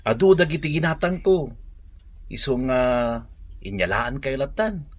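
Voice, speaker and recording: A man plays a generous, dutiful son in an Ilocano radio drama. His middle-pitched voice is lively in tune, with an Ilocano accent. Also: a little slow. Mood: kindly. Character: generous, dutiful grown son visiting parents.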